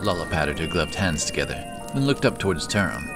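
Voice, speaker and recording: A man delivers scripted fantasy narration. His voice is very low in pitch. Prominent music and effects run behind the storytelling. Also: sing-song, brisk.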